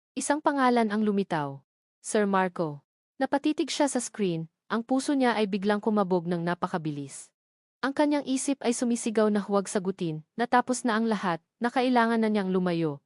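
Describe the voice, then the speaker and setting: A woman reading a scripted dramatic love story as narrator, in a measured, solemn and sentimental way. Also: medium pitch, darkish tone, dry recording, studio quality.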